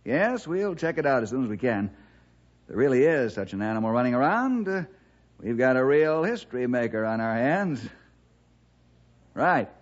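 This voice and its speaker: A principled forest ranger in a vintage radio play. He speaks in an earnest, measured and fatherly manner. The sound is dull and narrow, like an old broadcast. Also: medium pitch, sing-song. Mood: businesslike. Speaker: ranger and lead character. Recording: dull, band-limited old radio recording.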